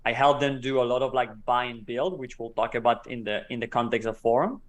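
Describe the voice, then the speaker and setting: A man in his thirties who grew up in Israel, a company co-founder telling his story as an interview guest in a measured, explanatory way. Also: mid-range voice. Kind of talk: casual interview talk.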